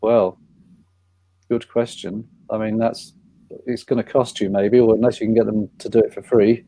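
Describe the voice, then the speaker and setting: A man's voice: lowish voice, level tone, moderate pace, dark tone, husky. A man chairing an online writers' panel, asking questions and reading audience questions aloud. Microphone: video call.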